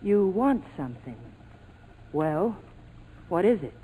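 A woman's voice: on the low side, big pitch swings, takes it slowly, dark tone. An actress playing a sardonic, guarded young woman in the cool style of 1940s radio drama. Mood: defiant, annoyed. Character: hard-boiled sardonic young American woman, defiant and guarded. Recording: noisy old 1940s radio broadcast.

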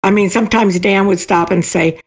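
A woman's voice: mid-range voice, steady tone, normal speed, husky. A woman talking conversationally as an author being interviewed. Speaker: guest, self-published author.

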